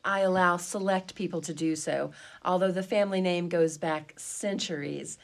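A woman's voice: on the low side, steady tone, normal speed, creaky. A woman playing a dignified, imperious wealthy mistress of an old mansion in a comedy radio play, with a grand, formal, theatrical way of talking. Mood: haughty, affronted.

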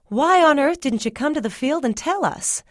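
A woman narrator plays a country mother in an audiobook story, her voice lifted high and edged with exasperation. She scolds and presses with questions, sharp and worried, in a very dry recording. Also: lively intonation, normal speed, no echo. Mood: anxious, insistent. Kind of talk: audiobook character dialogue.

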